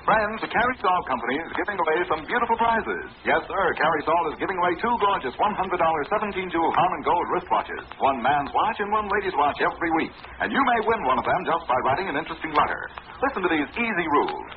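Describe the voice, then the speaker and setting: A man working as a radio announcer, giving an emphatic, polished sales pitch in 1940s style. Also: very animated, fast talker. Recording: noisy, narrowband vintage radio recording.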